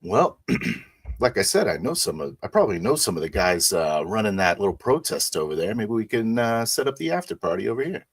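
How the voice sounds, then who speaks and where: A man playing a jaded, gruff vampire party organizer, speaking casually and wryly in an improvised tabletop roleplay. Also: lowish voice, sing-song, brisk, full character voice. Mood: jaded, scheming.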